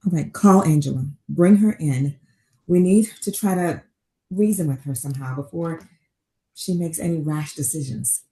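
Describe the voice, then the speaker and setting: A woman's voice: low-pitched, normal speed, dark tone, rough recording. A woman in a table read playing a composed, careful, concerned doctor in a drama. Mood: worried, urgent. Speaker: actor in a script table read. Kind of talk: dramatic script reading.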